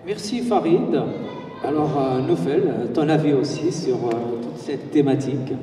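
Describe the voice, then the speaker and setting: A man's voice: mid-range voice, unhurried, warm tone. A man moderating a conference panel, passing the floor to speakers with brief questions, heard from far off in a hall. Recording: distant echoey hall.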